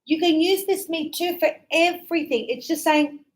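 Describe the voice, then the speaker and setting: A woman teaching an English lesson, explaining slowly and clearly and acting out sample dialogues. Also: high-pitched.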